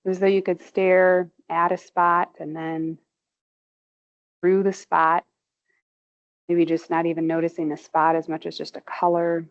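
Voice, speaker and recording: A woman leads a guided muscle relaxation with slow, soothing cues, her voice rising with emphasis each time she calls for tension. Her tone carries a gravelly fry, and the recording sounds somewhat muffled. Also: deep voice. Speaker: movement instructor.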